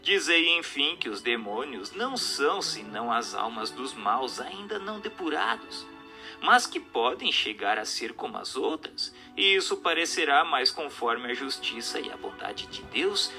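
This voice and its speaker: A man's voice: medium pitch, very animated, unhurried, creaky. A Brazilian man reading a spiritual text aloud as an audiobook narrator, in a declamatory, didactic and emphatic manner. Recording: narration over music.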